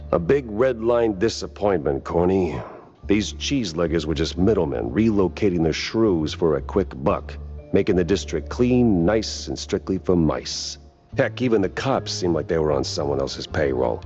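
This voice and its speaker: A very low, gruff cartoon gumshoe, world-weary and dryly wisecracking in 1930s noir style. The sound is a little muffled, with music playing behind. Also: male voice, expressive, moderate pace, darkish tone. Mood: wry, weary. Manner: dry, wisecracking noir delivery. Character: hardboiled, world-weary cartoon mouse private detective.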